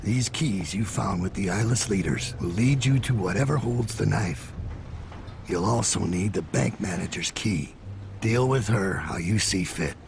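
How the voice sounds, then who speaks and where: Gravelly, grim old assassin. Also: male voice, fairly low pitch, expressive, unhurried. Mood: grim, focused. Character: weary, ailing old master assassin, grim mentor. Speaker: mentor. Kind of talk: dramatic game dialogue. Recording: clear background ambient noise.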